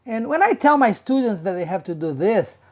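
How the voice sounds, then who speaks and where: A woman teacher giving an instructional talk in a measured, emphatic, didactic manner. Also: mid-range voice, sing-song, a little mellow. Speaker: English pronunciation teacher.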